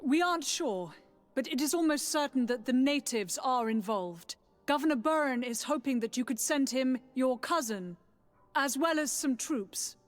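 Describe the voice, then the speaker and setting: A humble female emissary from a video game, formal and deferential. Her fairly high voice carries hall reverb, with music underneath. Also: lively intonation, moderate pace. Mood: pleading, respectful.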